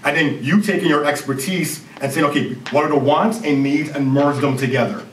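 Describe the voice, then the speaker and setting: A man in his thirties with a Jamaican accent, a CEO giving an energetic conference presentation and joking with the audience. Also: fairly high pitch, brisk, slight background noise. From Canada. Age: 30s. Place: university lecture hall. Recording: roomy hall recording from far off.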